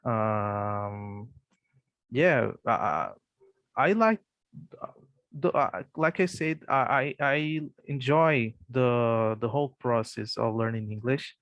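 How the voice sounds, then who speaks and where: Slow, hesitant Brazilian man. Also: mid-range voice, fairly bright tone, no room sound, lo-fi sound. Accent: Brazilian Portuguese. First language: Portuguese. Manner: hesitant, many fillers and restarts. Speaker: English learner. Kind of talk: casual online English practice conversation.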